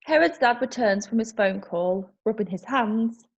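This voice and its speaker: A woman's voice: a little high, lively intonation, a little slow, husky, muffled recording. A woman reading the stage directions aloud in a plain, matter-of-fact way at an amateur online play reading.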